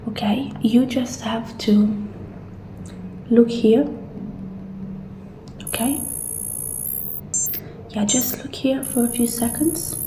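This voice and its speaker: A woman doing a soft-spoken ASMR roleplay as an assistant, often dropping into a whisper, with gentle, reassuring guidance. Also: on the high side, steady tone, slow, long pauses. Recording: roomy echo, faint background noise.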